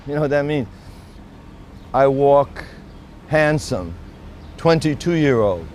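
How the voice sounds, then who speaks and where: A man in his sixties, a street poet, giving a theatrical poetic monologue that swings from hushed musing to shouting. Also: medium pitch, lively intonation, takes it slowly, slight background noise. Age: 60s. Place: outdoors on city streets.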